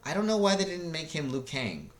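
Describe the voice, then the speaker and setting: A man in his thirties hosting a casual, rambling review in a halting, searching way, with laid-back slangy enthusiasm. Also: fairly high pitch, very animated. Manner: hesitant, halting, searching for words. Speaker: video host reviewing films. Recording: roomy, echoey sound.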